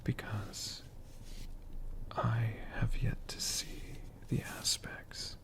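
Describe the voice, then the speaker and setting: An ASMR storyteller whispering a tale in a hushed, lingering manner, pausing and repeating words. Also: medium pitch, little pitch movement. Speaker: ASMR storyteller, channel host.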